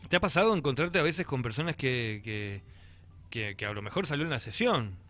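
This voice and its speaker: An Argentine man of average pitch hosts a relaxed conversation. He speaks casually and hesitantly, often doubling words while he finds his point. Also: a little fast. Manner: hesitant, repeats words as he goes. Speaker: interviewer, host. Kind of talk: casual chat.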